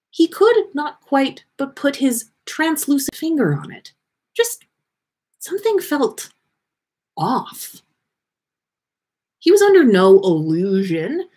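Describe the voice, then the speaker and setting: Slow, expressive woman reading a story. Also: on the high side. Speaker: podcast host reading fan fiction.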